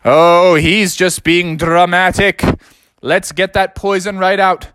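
A storyteller voicing a brisk, no-nonsense male zookeeper in a children's story. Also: medium pitch, expressive, fairly bright tone, no room sound, studio quality, pitched above the performer's own voice. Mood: brisk reassurance.

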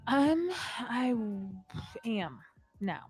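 Soft-spoken, giggly high-voiced woman. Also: big pitch swings, very slow, faint music behind. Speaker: guest singer. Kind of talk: casual chat.

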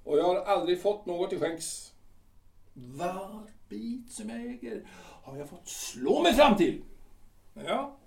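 Blustering aging army captain, domineering and boastful, played by a man, lively high voice, echoey room heard from off-mic. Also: vocal fry, distant mic. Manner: blustering, swings between loud and muttered. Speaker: actor in an audio drama.

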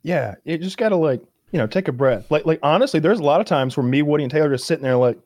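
A man's voice: fairly high pitch, fast talker, no echo. A man co-hosting a podcast, telling stories in a loose, laughing way and swearing freely. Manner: animated storytelling, swears freely, laughs often. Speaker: podcast co-host. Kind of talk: casual chat.

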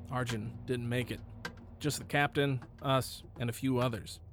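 A man playing a brisk, outspoken soldier, second-in-command of his squad, in a science fiction audio drama. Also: medium pitch, fairly even intonation, slight background noise, lightly acted. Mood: reassuring, wry. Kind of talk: sci-fi audio drama acting.

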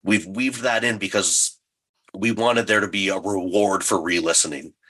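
A man with a low-pitched, forceful voice that has some husk to it. He talks as an interview guest, a creator explaining his craft with clear enthusiasm. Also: steady tone, moderate pace. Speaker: audio drama creator and writer, interview guest.

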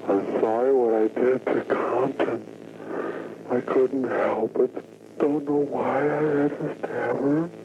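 A distraught, weepy man making a halting confession as an anonymous caller on an old, muffled phone recording. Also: medium pitch, fairly even intonation. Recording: noisy muffled archival phone call.

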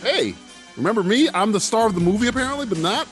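A man's voice: high voice, expressive, fast talker. Sarcastic man with dry movie jabs. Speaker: movie riffing commentator. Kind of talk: casual jokey movie commentary. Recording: music from the film playing behind.

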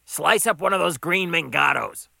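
A man's voice: medium pitch, sing-song, normal speed, creaky, pushed and bright, no room sound, heavily acted. A man voicing a stubborn, grumpy diner owner in an audiobook, with a dry, defensive, grousing delivery. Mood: snappish.

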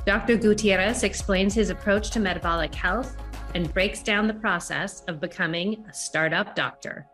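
Podcast host reading an intro over faint music. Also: female voice, mid-range voice, normal speed, fairly bright tone, low-quality recording. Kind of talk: scripted intro, then casual interviewing.

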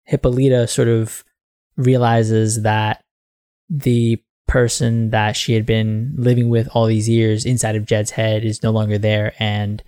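A man's voice: lowish voice, flat delivery, a little slow, dark tone, smooth, no echo. A man co-hosting a comics book club podcast, recapping the story in a rambling way and laughing often. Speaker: podcast co-host. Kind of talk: casual book club discussion.

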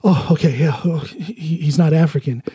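A man's voice: fairly high pitch, normal speed, dark tone, slightly rough, dry recording. An American man hosting a podcast, chatting casually and bluntly, often laughing as he talks. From United States. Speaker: podcast host.

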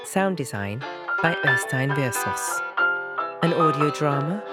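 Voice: medium pitch, expressive, slow, loud music behind the voice. A narrator reading scripted, documentary-style historical narration for an audio drama.

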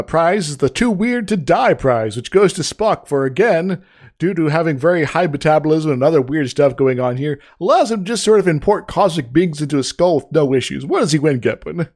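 Slightly hoarse man stumbling through podcast chat. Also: a little high, big pitch swings, a little mellow. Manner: halting, many restarts and fillers. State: recovering from illness, sore throat. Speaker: podcast co-host.

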